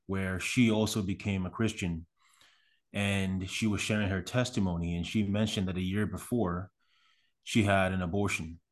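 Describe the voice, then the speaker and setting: A young man from Ghana, raised in Canada, speaking earnestly as a podcast guest, with many fillers and restarts. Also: deep voice. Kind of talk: casual interview.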